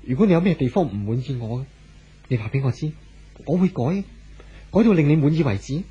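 An actor playing an anxious, devoted husband in a 1970s Hong Kong Cantonese radio drama, earnest and coaxing. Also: male voice, mid-range voice, expressive, fairly quick, dark tone, breathy edge. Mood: apologetic, coaxing. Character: anxious, pleading, devoted wealthy young husband. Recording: dull vintage radio recording with music.

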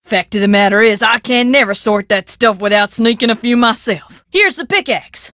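Actress doing a sassy country american shop lady, really high voice, folksy and scolding, video game character, dry close sound. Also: moderate pace, fairly bright tone, no echo, lightly acted. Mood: friendly, frank. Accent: rural American.

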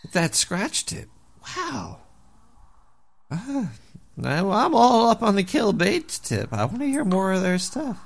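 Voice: very animated, slow pace, gravelly, full character voice. A performer voicing a paranoid cartoon rat who hosts a radio show. The rat has a stuffy nose and rambles and stammers. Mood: rambling. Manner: rambling, stammering, swings wildly in pitch. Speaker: host taking calls and playing music. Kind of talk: comedic radio show chatter.

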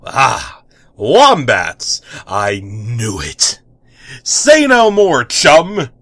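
A man's voice: medium pitch, wildly swooping pitch, drawn-out words, a bit bright, dry recording, pitched above the performer's own voice, exaggerated voice. A man voicing an enthusiastic, dim-witted superhero who makes grandiose proclamations in a comic story reading. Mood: rousing, heroic.

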